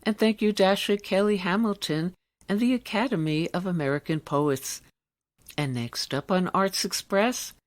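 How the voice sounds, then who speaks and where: A woman's voice: mid-range voice, moderate pace. A woman presenting an arts radio show, reading scripted introductions. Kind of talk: scripted narration.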